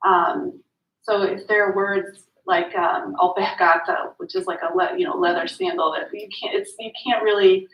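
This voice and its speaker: A woman writer answering a panel question in a soft, hesitant way with frequent ums. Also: mid-range voice, animated, moderate pace. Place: event room at a literary gathering. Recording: roomy, distant, dull-sounding mic.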